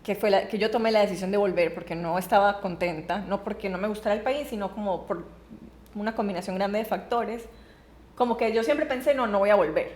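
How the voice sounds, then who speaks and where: A woman's voice: medium pitch, fairly quick, a little mellow. A young woman speaks in a mid-range voice, chatty and candid, with easy laughs through a casual personal conversation. The room around her adds a noticeable echo. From Colombia. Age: young adult. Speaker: podcast guest.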